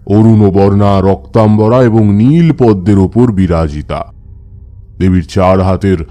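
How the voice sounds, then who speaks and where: Man acting Taranath, a low, mellow tantric storyteller. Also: close to an everyday voice. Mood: reverent. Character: Taranath, seasoned male tantric recounting his past. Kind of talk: Bengali audio horror storytelling.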